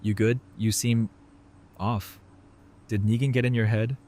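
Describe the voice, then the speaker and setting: A man's voice: on the low side, fairly even intonation, a little slow, dark tone, a little hiss or hum, natural voice. A man playing an easygoing, flirty doctor who banters casually in an audio drama. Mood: teasing, easygoing. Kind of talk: fan audio drama dialogue.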